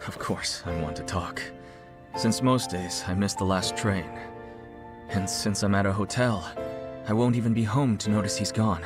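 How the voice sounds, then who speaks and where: A man's voice: lowish voice, steady tone, normal speed, music in the background, natural voice. A man acting a polite, anxious middle-aged father and husband in a dubbed video game cutscene, formal and earnest in his speech. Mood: pleading. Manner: polite, formal, earnest, exclaims when upset.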